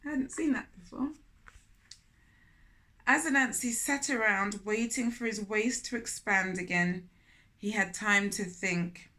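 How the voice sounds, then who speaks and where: A woman reading a children's story aloud in an expressive manner, often turning to question young listeners directly. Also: medium pitch, measured pace, long pauses. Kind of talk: animated children's read-aloud with asides to listeners.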